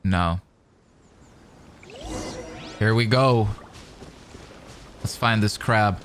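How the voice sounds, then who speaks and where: A man's voice: lowish voice, very slow, a bit bright, a little hiss or hum. A man giving casual, live reaction commentary while playing a video game.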